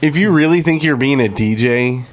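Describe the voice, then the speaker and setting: A man's voice: mid-range voice, moderate pace. A man chatting casually as a podcast co-host, informal and mildly griping in manner.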